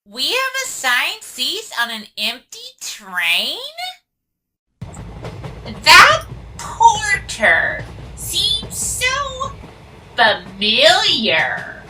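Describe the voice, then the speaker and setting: An excitable young cartoon mare with a piercingly bright, very animated voice. She speaks with earnest, dramatic emphasis and a bookish fan's intensity, a little far from the mic. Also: high voice, extremely slow, long pauses, soft background music, full character voice. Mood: curious, puzzled. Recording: distant mic with faint noise.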